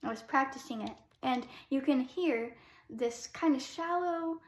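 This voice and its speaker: A young adult woman, a classical soprano, giving a relaxed vocal lesson in a chatty way with many ums. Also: high voice, unhurried, echoey room.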